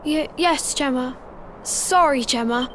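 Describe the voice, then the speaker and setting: Very high, timid little boy. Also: slow, background noise. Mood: sheepish. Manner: stammering, timid. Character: small mischievous village boy, scared and sheepish. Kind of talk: video game cutscene voice acting.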